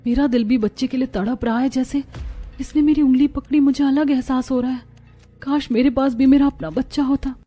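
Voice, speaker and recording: Woman acting as proud young daughter-in-law, high voice, intense melodrama, Hindi cartoon, background music. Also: fairly even intonation, a little fast, mellow. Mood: anguished.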